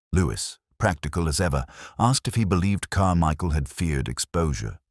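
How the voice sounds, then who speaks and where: A middle-aged man reading a scripted first-person detective story in a measured, reflective, literary way. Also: very deep voice, dry recording, clean studio sound. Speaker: audiobook-style narrator of a detective story.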